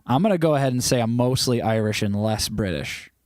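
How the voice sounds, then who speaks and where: A man's voice: medium pitch, expressive, brisk, darkish tone, no echo, studio quality. Chatty man hosting a livestream. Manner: chatty, teasing, reads viewer comments aloud; rambling with frequent restarts. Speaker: livestream host. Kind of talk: casual livestream chat.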